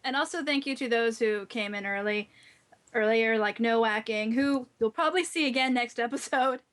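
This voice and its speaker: Woman, high-pitched voice, podcast host, casual, informal chat. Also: normal speed, a bit bright.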